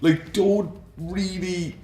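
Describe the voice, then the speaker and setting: A man's voice: high voice, steady tone, drawn-out words, mellow. A man co-hosting a casual, opinionated chat about games and films in an informal way. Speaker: podcast co-host. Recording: roomy echo, music underneath.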